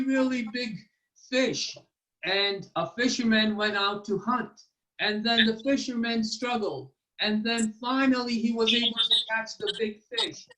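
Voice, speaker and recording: A man as a nervous, high-pitched, stammering storyteller. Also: sharp tone, exaggerated voice, poor audio. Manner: halting, stammering, obsequious. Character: nervous, eager, bumbling male storyteller pleading before royalty.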